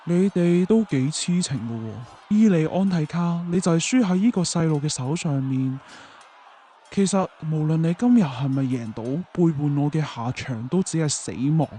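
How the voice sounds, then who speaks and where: Man acting a smug, dark-toned villain king. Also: on the high side, lively intonation, moderate pace, a little hiss or hum, lightly acted. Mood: gloating, mocking. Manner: mocking, theatrical. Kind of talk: Chinese radio drama acting.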